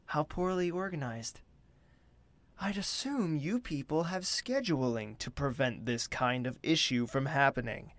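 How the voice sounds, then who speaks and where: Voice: mid-range voice, lively intonation, unhurried, no echo. Haughty young prince, performed by an actor: curt, imperious, formal audio drama delivery in a dry recording. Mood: curt, displeased.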